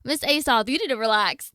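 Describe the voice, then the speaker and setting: A woman's voice: high-pitched, sharp tone, dry recording, clean studio sound. A young adult woman livestreamer chatting casually with her viewers in a slangy, blunt, candid way. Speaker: game livestreamer.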